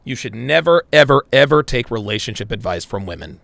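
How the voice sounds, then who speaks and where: Blunt crude guy reacting on a live stream, swings his pitch all over, dry sound. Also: expressive, fairly quick, gravelly, a bit bright, no room sound. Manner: blunt, crude, swears often; addresses live chat viewers. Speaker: podcast host reacting to a clip.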